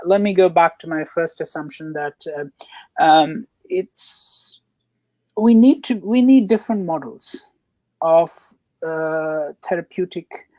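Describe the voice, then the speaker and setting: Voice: mid-range voice, darkish tone, no room sound, poor audio. A counselor answering questions on a webinar, talking with many fillers and restarts over a video call. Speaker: counselor and program researcher.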